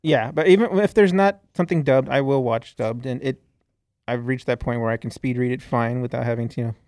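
A man's voice: mid-range voice, brisk, darkish tone, dry recording, studio quality. A man co-hosting a casual podcast chat, rambling with frequent swearing and laughs, from the southern United States.

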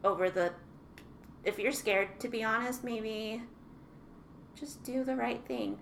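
A young woman co-hosting a podcast in casual chat, earnest and soft-spoken. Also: a little high, slow, vocal fry. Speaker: podcast co-host. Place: small room, mic on table. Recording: faint background noise.

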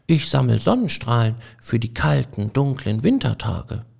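Ein Mann, der eine sanfte, verträumte männliche Feldmaus spricht, ruhig und bescheiden. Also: male voice, medium pitch, moderate pace, gravelly, voice pushed up, heavily acted. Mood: calm. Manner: soft, calm and modest. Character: gentle dreamy male field mouse poet.

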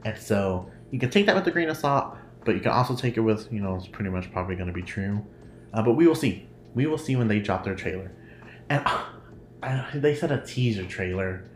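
A man's voice: on the low side, animated, brisk. A man with a nasal voice hosting a casual solo podcast, rambling and laughing often. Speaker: podcast host. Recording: roomy echo, faint noise.

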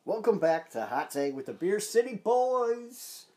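A man's voice: high voice, sing-song, unhurried, warm tone. A man co-hosting a wrestling podcast in casual chat, sounding stuffed up and nasal from congestion. He rambles loosely, with fillers and restarts. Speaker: podcast co-host.

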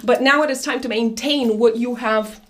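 Hoarse, raspy higher-voiced woman astrologer. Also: moderate pace. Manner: chatty, addresses viewers fondly, many fillers and restarts. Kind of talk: monthly horoscope forecast to camera.

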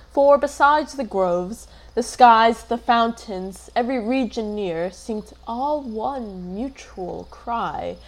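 Woman playing a slow, proud noblewoman. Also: medium pitch, close to an everyday voice, poor audio. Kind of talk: Shakespearean radio drama.

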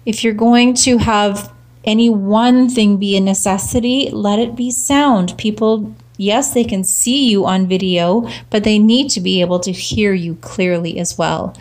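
A woman hosting a social media marketing show, talking casually and emphatically, her voice slightly rough from cheering. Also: mid-range voice, measured pace, a little mellow. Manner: upbeat, emphatic repetition. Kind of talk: casual solo talk to camera.

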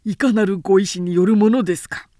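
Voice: mid-range voice, quick pace, warm tone, no room sound, studio quality, close to an everyday voice. A woman voicing an anxious, deferential male army officer in an audiobook, formal, urgent and emphatic. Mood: alarmed, protesting.

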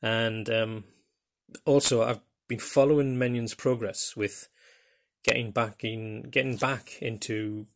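Gravelly Geordie man, older podcaster. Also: mid-range voice, fairly even intonation, slow. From northeast England. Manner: rambling, self-deprecating, many fillers. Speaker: podcast host. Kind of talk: casual monologue.

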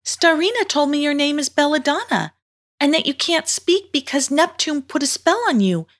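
A woman's voice: high voice, animated, moderate pace, fairly bright tone, voice pushed up. A woman voicing a sweet, anxious young girl in a storybook, with an earnest, pleading manner.

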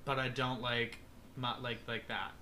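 A man's voice: mid-range voice, flat delivery, unhurried, pushed and bright, slight background noise. A young man co-hosting a casual podcast, rambling with lots of fillers and swearing freely. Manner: loose, swears a lot, rambling with many fillers. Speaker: podcast co-host.

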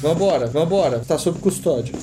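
A man's voice: a little high, lively intonation, moderate pace, noisy background. A man playing a tabletop game, improvising his character's dialogue. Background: music.

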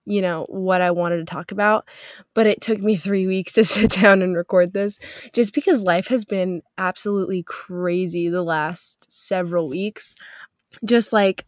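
A woman's voice: medium pitch, no echo, clean studio sound. A young woman hosting a faith podcast, chatting upbeat and loose with many fillers, her voice stuffy from a cold. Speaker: podcast host. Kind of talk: casual faith podcast chat.

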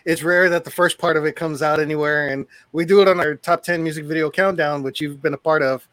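Upbeat guy hosting a podcast, chatty, kinda high voice. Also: steady tone, brisk, a bit bright, no room sound. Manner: upbeat, conversational. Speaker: show host.

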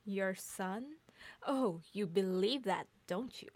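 Actress as sardonic young mother for radio play, teasing, medium-pitched, very dry studio sound. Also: takes it slowly, darkish tone, no echo. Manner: mocking, dry sarcasm. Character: sarcastic, teasing young mother needling her partner. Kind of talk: two-hander audio drama reading.

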